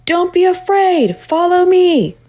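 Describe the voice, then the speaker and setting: A woman's voice: very high-pitched, big pitch swings, slow pace, warm tone, pitched above the performer's own voice, full character voice. A woman voicing a brave young female schoolteacher in a storybook, calling out firmly to her pupils in a raised voice. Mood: urgent, rallying.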